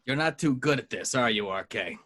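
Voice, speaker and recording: A man's voice: medium pitch, animated, bright, forceful tone. A man playing a cool, sardonic detective with terse, dry delivery in an amateur script read. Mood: dry sarcasm. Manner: terse, dry, unhurried sarcasm.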